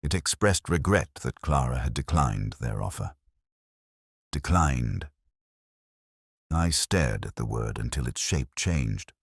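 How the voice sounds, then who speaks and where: A man reading a scripted first-person detective story in a measured, brooding, reflective way. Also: extremely low pitch, lively intonation, vocal fry, slightly rough, no echo, clean studio sound. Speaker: narrator.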